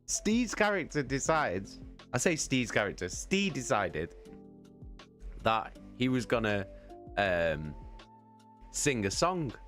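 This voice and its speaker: Man, fairly low voice, very expressive swings, casual rambling storytelling, sweary, excitable, emphatic repetition, music in the background. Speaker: live streamer telling a story.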